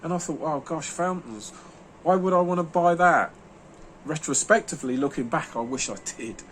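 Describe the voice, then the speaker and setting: A man's voice: on the high side, normal speed, fairly bright tone, a little hiss or hum. A man with German as his first language, reviewing books in a casual monologue, rambling with many fillers and restarts. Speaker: book reviewer.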